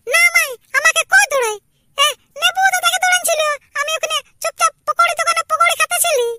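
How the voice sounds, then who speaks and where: Very high, squeaky little boy. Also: quick pace, pushed and bright, no echo, heavily acted. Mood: whining, defensive. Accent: Purulia Bengali. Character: stubborn, wheedling little village boy. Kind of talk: amateur cartoon comedy dialogue.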